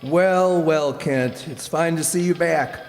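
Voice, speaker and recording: An actor playing a brusque, avuncular newspaper boss in a radio serial. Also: male voice, a little high, slow pace, faint music behind. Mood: hearty. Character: brusque but approving male newspaper editor. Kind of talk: old-time radio serial acting. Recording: echoey hall.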